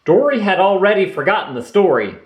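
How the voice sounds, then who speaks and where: A man reading a children's storybook aloud in an expressive storytelling manner. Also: high voice, brisk, lo-fi sound. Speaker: storybook reader. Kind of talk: children's read-aloud narration. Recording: roomy echo.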